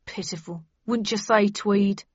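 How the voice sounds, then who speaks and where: A woman voicing a stern but kindly male official in an audiobook, with a brisk, commanding manner. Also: medium pitch, fairly even intonation, slightly rough, no room sound, muffled recording. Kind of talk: audiobook character acting.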